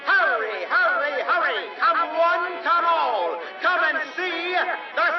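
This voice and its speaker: An actor playing a brash carnival sideshow barker in a radio drama, grandiose and drawn-out as he hawks. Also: very high voice, lively intonation, heavily acted. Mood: hawking, grandiose. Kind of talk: old-time radio drama acting. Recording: dull, echoing, distant, crowd and music behind.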